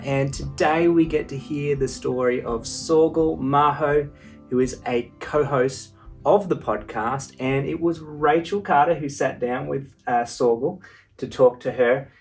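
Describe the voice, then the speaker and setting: A man's voice: a little high, measured pace, far from the mic, faint music behind. A man hosting a podcast, introducing and chatting casually. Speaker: podcast host.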